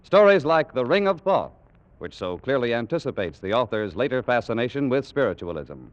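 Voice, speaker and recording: A man announcing a 1940s radio show, reading a script in a formal, measured declamation. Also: mid-range voice, big pitch swings, dry recording. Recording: muffled vintage radio recording with music.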